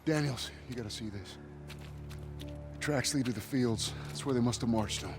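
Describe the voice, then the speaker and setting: An actor playing a gruff, hard-bitten American army sergeant in a war game, blunt and commanding. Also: male voice, mid-range voice, normal speed, close to an everyday voice. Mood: grim. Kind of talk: dramatic video game acting. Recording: music behind the voice.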